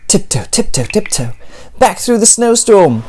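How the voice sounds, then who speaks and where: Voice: mid-range voice, very animated, unhurried, heavy background noise. A storyteller reading a children's picture book aloud, theatrically, drawing words out and dropping into whispers for sound words.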